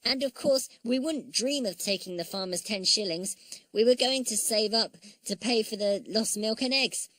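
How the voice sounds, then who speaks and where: Storybook little toy boy, acted by a woman, high-pitched, chirpy, eager, earnest, dry close sound. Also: normal speed, fairly bright tone, dry recording, lightly acted, rough recording.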